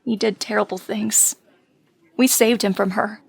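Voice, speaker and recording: A woman playing a grieving, earnest young superhero in an audio drama, with a breathy voice. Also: medium pitch, steady tone, a little slow. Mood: pleading, sad.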